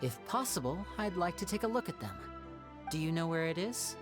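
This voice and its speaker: A woman voicing a confident, courteous young male magician in a video game, smooth and earnest. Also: on the low side, very animated, fairly quick. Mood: composed. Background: game music.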